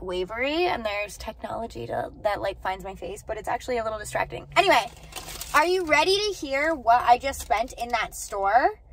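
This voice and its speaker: Young woman vlogging on her phone, chatty and upbeat, says like a lot. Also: on the high side. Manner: chatty, upbeat, lots of "like" fillers. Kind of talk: casual vlog chat. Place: in a car, then outdoors by a car hatch.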